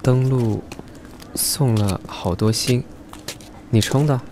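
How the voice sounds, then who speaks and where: An actor playing a shy, loyal, protective young man in a radio drama, halting and terse. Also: mid-range voice, a little mellow, a little hiss or hum, natural voice. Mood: shy, earnest. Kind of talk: Chinese radio drama acting.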